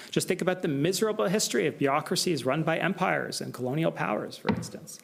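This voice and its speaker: American man, academic speaker at a symposium; animated delivery, slightly raised pitch, measured explaining tone. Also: creaky. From United States. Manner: measured, explanatory, with asides and filler "right?". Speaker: lecturer. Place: lecture hall.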